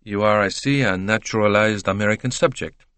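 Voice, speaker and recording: A man's voice: fairly low pitch, brisk, fairly bright tone, smooth, no room sound, close to an everyday voice. Man acting a courteous, probing detective, dry and formal. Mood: questioning.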